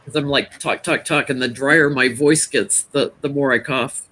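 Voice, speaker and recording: Loud-voiced male tarot reader, chatty, home laptop stream. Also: medium pitch, fairly even intonation. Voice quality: naturally loud voice. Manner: chatty and rambling, quick to laugh. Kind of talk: casual live stream chat. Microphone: laptop, sitting back from it.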